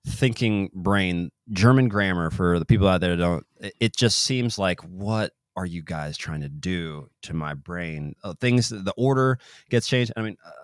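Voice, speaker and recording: A man with a very low voice hosts a podcast in casual chat. He rambles, restarts his sentences and lets phrases trail off. The sound is dry and close, with no room. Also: a little slow, few pauses, no room sound. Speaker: podcast host.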